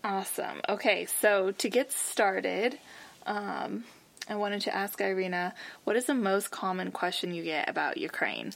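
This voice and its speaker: A woman's voice: medium pitch, measured pace, vocal fry. A woman co-hosting a podcast in casual chat, upbeat and chatty as she asks questions. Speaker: podcast co-host.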